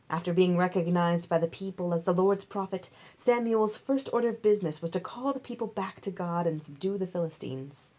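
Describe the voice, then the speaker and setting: A woman's voice: fairly low pitch, a little mellow. A woman reading scripture aloud as a storyteller, as in a recorded audiobook. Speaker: audiobook reader.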